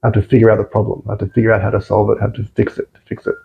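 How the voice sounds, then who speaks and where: A man's voice: on the low side, monotone, racing, gravelly, phone-quality sound, soft background music. A man with an Australian accent, a podcast guest talking thoughtfully and repeating words as he searches for phrasing. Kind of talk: reflective casual conversation.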